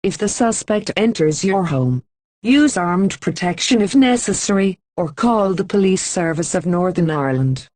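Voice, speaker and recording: A woman reading a scripted emergency broadcast announcement in an even, formal manner with slow, deliberate pauses. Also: lowish voice, no echo.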